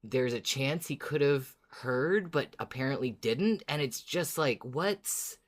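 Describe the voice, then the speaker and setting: Very deep-voiced woman podcaster. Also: animated, takes it slowly. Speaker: podcast co-host. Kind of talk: casual fan discussion of an audio drama.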